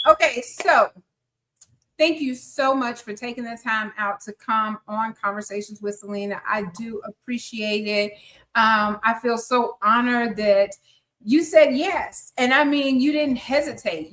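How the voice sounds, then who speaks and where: Slow-talking, effusive woman in her fifties. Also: medium pitch, a bit bright, low-quality recording. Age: 50s. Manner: chatty and effusive, encouraging. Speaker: podcast host. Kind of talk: live podcast interview.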